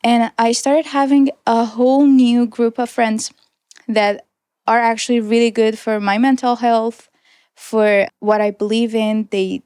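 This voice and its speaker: Earnest young woman, smooth clear voice. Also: on the high side, darkish tone, no room sound, clean studio sound. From Iran. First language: Persian. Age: 20s. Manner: reflective, earnest, with small restarts and light laughs. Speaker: interview guest. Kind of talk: personal testimony in conversation.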